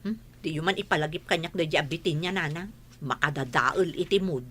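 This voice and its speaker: A woman's voice: sits low, fairly quick, a bit bright, breathy edge. An actress playing a wistful, proud mother with old regrets in an audio drama. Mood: resentful. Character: wistful, proud mother who never finished school. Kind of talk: Ilocano audio drama acting.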